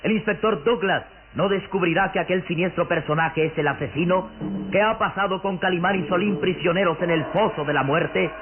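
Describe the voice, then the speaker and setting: A man's voice: high-pitched, fairly quick. A man narrating a radio drama from a script in a dramatic style. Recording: tinny lo-fi radio recording, loud music.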